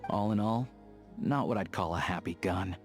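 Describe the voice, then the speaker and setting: Soft music sits under a low young male voice, an actor playing a pragmatic frontier hunter in a video game. He talks in an easygoing, conversational manner, plain and unhurried. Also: close to an everyday voice. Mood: wry, matter-of-fact. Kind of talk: video game character dialogue. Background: soft background music.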